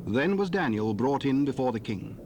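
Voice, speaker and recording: Formal male narrator, mid-pitched, hissy cassette sound. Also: animated, normal speed. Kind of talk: scripted scripture narration.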